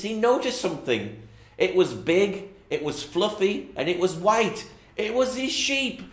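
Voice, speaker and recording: A man's voice: sits high, fairly bright tone, echoey room. A man narrating a children's Bible bedtime story, with repetitive, sing-song storytelling phrasing.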